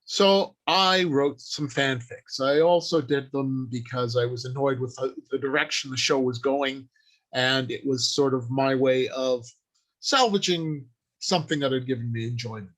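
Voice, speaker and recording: A man's voice: medium pitch, normal speed, fairly bright tone, poor audio. A man giving an informal instructional talk as a writer, explaining things plainly and stressing key points, partly improvising from notes. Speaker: writer and convention panel speaker.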